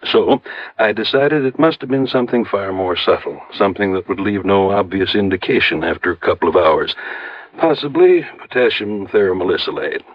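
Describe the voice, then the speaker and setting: A man's voice: lowish voice, lively intonation, moderate pace, dry recording. A man playing an earnest, precise doctor who turns medical sleuth in a 1950s radio drama. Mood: clinical, earnest. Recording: dry, dull old radio recording.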